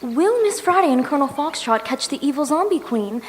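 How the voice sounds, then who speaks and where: A woman's voice: sits high, lively intonation, brisk, a little hiss or hum. A woman playing an earnest, dramatic radio serial announcer selling the sponsor's product in old-time style. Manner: dramatic, salesy. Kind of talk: 1940s radio announcer acting. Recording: reverberant hall.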